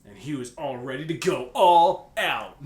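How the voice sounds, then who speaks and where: A man's voice: measured pace, a little mellow. A young man reading fan fiction aloud for laughs, mixing casual banter with hammy delivery and stumbling over words. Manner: giggly, stumbles over words, hams up quotes. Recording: echoey room with faint rumbling noise.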